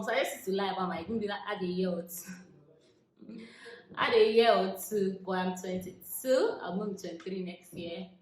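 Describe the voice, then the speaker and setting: Brief: woman, 20s, Nigerian accent, casual vlogger, laughs and drops into asides; roomy echo, light hiss. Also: lowish voice. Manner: chatty, laughs often, drifts into soft asides. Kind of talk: casual Q&A chat to camera. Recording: echoey room with faint noise.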